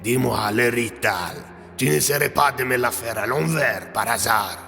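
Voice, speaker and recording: A man voicing a menacing, mocking gang boss with a gravelly voice in an audio drama. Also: mid-range voice, a little slow, pushed and bright. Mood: mocking menace. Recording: reverberant cave effect, music behind.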